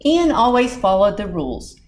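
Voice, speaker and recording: Female storytime librarian, expressive, mid-pitched, chatting to children as she reads, faint background noise. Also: normal speed. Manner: expressive, talks directly to young listeners. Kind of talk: animated children's storybook read-aloud with asides.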